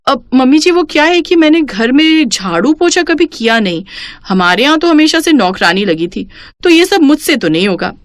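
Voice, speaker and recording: A woman voicing a pampered, stubborn young daughter-in-law in a cartoon story, whiny and quick to talk back. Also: high voice, very animated, no echo, studio quality, voice pushed up. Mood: defiant. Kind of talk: Hindi cartoon moral story acting.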